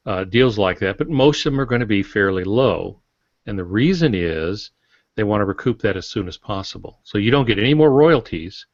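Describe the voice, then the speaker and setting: Male author for podcast discussion: lowish pitch, plainspoken and colloquial, slightly muffled audio. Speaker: author, podcast guest or panelist. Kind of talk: casual explanatory podcast talk. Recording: dull, roomy podcast audio.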